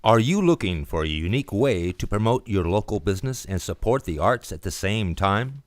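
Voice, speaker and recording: A man's voice: lowish voice, big pitch swings, normal speed, no echo. A man reading a scripted radio commercial as an announcer in a studio.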